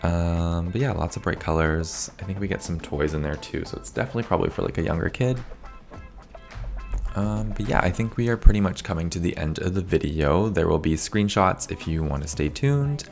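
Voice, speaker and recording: Hoarse low-voiced man. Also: brisk, faint music behind. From Canada. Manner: chatty, rambling, says "like" often. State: slightly hoarse, losing voice. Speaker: video game builder, channel host. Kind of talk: casual voice-over commentary.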